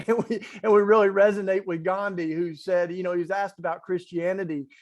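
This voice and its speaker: High-voiced Tennessee man, earnest. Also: steady tone, normal speed. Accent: East Tennessee. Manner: earnest, conversational, reflective. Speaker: webinar panelist, faith organizer. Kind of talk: online panel talk.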